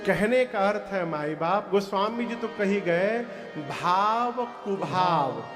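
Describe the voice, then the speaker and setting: A man with a Bhojpuri accent giving a devotional discourse, moving between folksy talk and slow sung bhajan lines over soft music. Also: high-pitched, animated. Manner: alternates speech and slow devotional singing, playful folksy asides. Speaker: kathavachak preacher.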